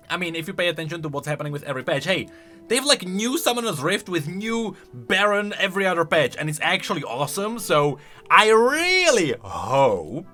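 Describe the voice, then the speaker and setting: A man with a bright, forceful voice gives casual commentary as a gaming channel host. He is highly animated and excitable, thinking aloud as he goes, with light music underneath. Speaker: host of a game lore channel.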